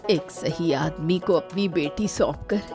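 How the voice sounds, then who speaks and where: A woman voicing a low, humble bride's mother. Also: a little slow. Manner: humble, gentle. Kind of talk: cartoon story dialogue. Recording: clear background music.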